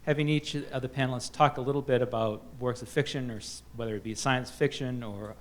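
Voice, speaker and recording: A man's voice: medium pitch, steady tone. A man moderating a book festival panel, reading out author introductions with frequent fillers and restarts. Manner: halting, many fillers and restarts.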